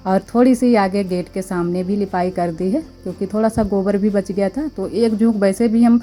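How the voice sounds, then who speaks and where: A young woman with a sore, slightly hoarse throat, chatting casually as she narrates her daily vlog about household chores at her village home. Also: mid-range voice, fairly even intonation, moderate pace, dark tone. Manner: chatty, homely, thanks viewers. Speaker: vlogger. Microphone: clip-on mic.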